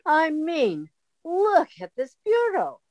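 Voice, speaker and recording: A woman's voice: very high-pitched, big pitch swings, takes it slowly, a little mellow, no room sound, dull audio, heavily acted. A woman playing a clipped, disdainful society lady in an old-time radio comedy. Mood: prim disapproval. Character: brisk, snooty society lady sidekick.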